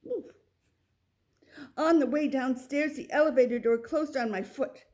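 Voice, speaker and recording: A woman reading a children's picture book aloud, with expressive delivery and little asides to the listener. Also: high-pitched, a little slow. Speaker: storybook reader.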